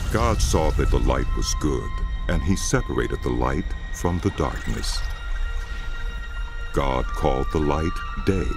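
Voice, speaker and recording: A man with an extremely low voice narrates scripture as a solemn drama. His delivery is slow and deliberate, with sweeping rises and falls, set over clearly audible music.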